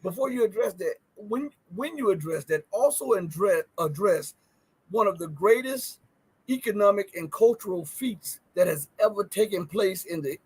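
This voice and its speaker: A man's voice: sits high, husky, low-quality recording. A man hosting a conversational interview, making emphatic, rhetorical points. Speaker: host.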